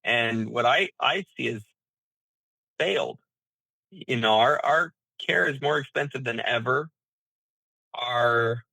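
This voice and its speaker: A man speaking as an interview guest, explaining his business in a halting, thinking-aloud way with repeated words. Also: medium pitch, gravelly, pushed and bright, no room sound, poor audio. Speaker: interview guest, healthcare management founder.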